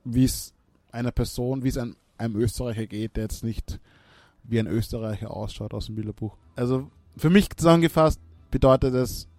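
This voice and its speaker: A musician, answering interview questions in a reflective way, with many restarts and fillers. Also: male voice, medium pitch, a little fast, a little mellow, no echo. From Austria. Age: 30s.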